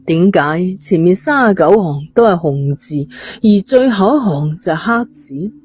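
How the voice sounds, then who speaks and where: A woman's voice: lowish voice, expressive, moderate pace, a little mellow, husky, dry recording. A woman, narrating a scripted thriller story. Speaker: audiobook narrator.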